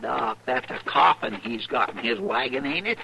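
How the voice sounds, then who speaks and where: An actor playing a garrulous, curious cowboy sidekick with an excitable rural Western American drawl in an old radio western. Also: male voice, mid-range voice, moderate pace, gravelly, poor audio. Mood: curious, hesitant. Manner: folksy, excitable, hesitant. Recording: hissy old radio broadcast.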